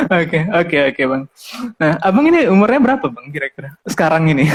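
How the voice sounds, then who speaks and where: A man's voice: on the high side, sing-song, brisk, breathy edge. A man in his twenties hosting a podcast in casual chat, talkative and laughing often. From Medan, Indonesia. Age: 20s. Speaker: podcast host.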